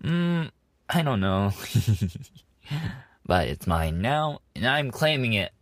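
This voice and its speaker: Man acting as cutesy animal-boy maid, clingy, teasing, giggly, unhurried, close dry sound. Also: mid-range voice, very animated, fairly bright tone, no room sound. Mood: clingy, affectionate. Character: playful, affectionate young animal-boy maid café server. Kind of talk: roleplay ASMR acting.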